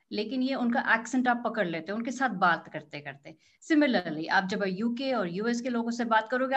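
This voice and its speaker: A woman's voice: fairly high pitch, a bit bright. A woman in her thirties answering interview questions, switching freely between Hindi and English with a slightly British-tinged accent. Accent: Indian English with a British tinge. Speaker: interview guest, business analyst.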